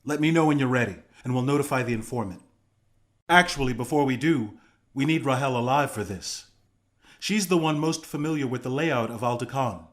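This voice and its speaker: An actor playing an earnest, friendly young rebel leader in a video game, speaking in a sincere, conversational way. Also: male voice, mid-range voice, brisk, natural voice. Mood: urgent, planning. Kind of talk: video game character dialogue.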